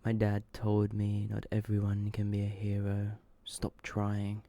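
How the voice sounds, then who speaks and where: A man softly reading short horror stories in an ASMR style, slow and hushed, often slipping into a whisper. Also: lowish voice, monotone, dry recording. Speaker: ASMR video creator and host.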